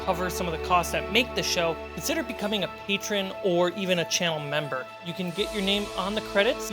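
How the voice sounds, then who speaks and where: A game master hosting a tabletop fantasy show. The voice sits at a middling pitch, with music clearly playing behind it. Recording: background music under voice. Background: background music.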